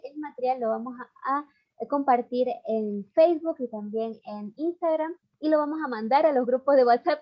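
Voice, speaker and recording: A woman hosting a live online session, warmly addressing the audience and reading out their questions. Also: high-pitched, lo-fi sound. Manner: warm, addresses audience as dear friends. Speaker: host.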